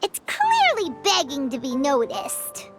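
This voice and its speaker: A woman voicing a tiny, childlike, excitable fairy companion in a video game, with a squeaky voice. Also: slow, heavily acted. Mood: chirpy. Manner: excitable, refers to itself in third person. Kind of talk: video game character dialogue. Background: music.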